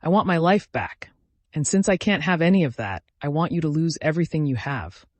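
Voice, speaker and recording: A man voicing a vengeful, calculating woman in an audiobook, deliberate and accusatory, with bitterly controlled grief. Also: fairly high pitch, animated, no echo, pitched above the performer's own voice. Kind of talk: dramatic audiobook character reading.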